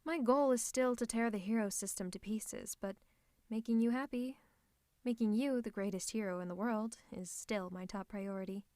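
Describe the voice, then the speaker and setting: A woman's voice: mid-range voice, normal speed, a little mellow, no room sound, studio quality, lightly acted. A woman voicing a theatrical, possessive female supervillain who is secretly a tender lover, in a scripted ASMR roleplay with a dramatic, haughty, teasing manner. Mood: devoted, tender. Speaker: ASMR voice performer.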